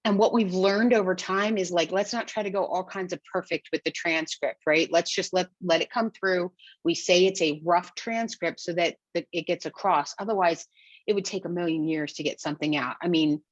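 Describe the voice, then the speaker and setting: A woman's voice: on the low side, normal speed, no echo, muffled recording. A middle-aged woman hosting a podcast, talking through her process in a chatty way with fillers and self-corrections. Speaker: podcast host. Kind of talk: casual interview chat.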